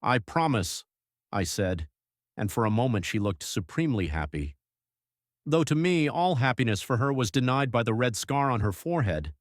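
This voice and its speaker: A man playing a grave, devoted young husband who narrates his journal in an audiobook, measured and reflective. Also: medium pitch, sing-song, no room sound, clean studio sound, natural voice. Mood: solemn.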